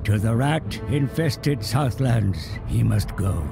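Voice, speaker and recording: An ominous storyteller narrates a dark fantasy video game over music. The delivery is very slow, grave and theatrical, with a creaky, gravelly grain in every phrase. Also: male voice, lowish voice, big pitch swings, exaggerated voice. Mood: grave, menacing. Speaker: cutscene narrator. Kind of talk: dramatic scripted fantasy narration. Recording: music behind the voice. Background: background music.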